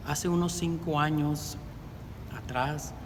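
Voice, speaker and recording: A middle-aged man giving an earnest, measured personal faith testimony as a deacon candidate. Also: fairly even intonation. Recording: reverberant with loud rumble.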